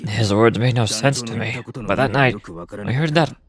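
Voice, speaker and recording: A man voicing a grave, loyal desert gang member in a game, explaining things in a measured amateur read. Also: medium pitch, music in the background, lightly acted. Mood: somber. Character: grave, loyal male desert gang member recounting his boss's sacrifice. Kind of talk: amateur voice acting of game dialogue.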